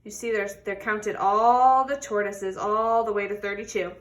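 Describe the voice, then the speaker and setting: A woman's voice: medium pitch, fairly even intonation, moderate pace. A woman reading a children's picture book aloud expressively, stretching words for emphasis and putting questions to young listeners. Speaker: read-aloud storybook reader.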